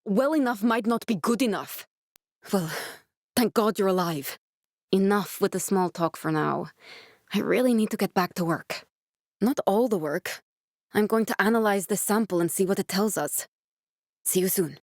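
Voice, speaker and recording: An actress playing a guarded, driven scientist in a video game, speaking in a measured, clinical way. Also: female voice, mid-range voice, no echo, studio quality, lightly acted. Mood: brisk, guarded. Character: guarded, driven woman scientist guiding a survivor, dry and earnest. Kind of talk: video game character voice acting.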